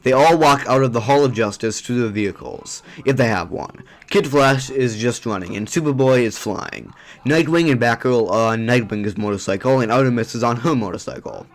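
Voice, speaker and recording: A man's voice: medium pitch, normal speed. Man narrating an audio drama.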